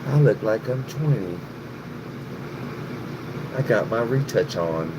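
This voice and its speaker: A man's voice: on the low side, animated, slow pace. Profane rambling middle-aged man livestreaming. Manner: profane, rambling, addresses chat by name. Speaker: livestream host. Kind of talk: casual livestream chat with viewers. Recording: noticeable background noise, air conditioning hum.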